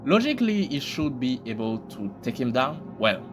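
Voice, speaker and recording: Male reaction host, very animated pitch, joking slangy commentary, loud music bed. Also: moderate pace. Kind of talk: casual scripted commentary with slang.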